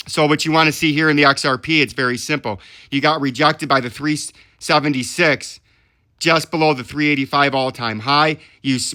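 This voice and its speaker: A man's voice: medium pitch, sharp tone. Man explaining charts in casual commentary. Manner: explanatory, often checks in with "right?". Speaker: host of a crypto chart analysis channel. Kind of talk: live technical chart commentary.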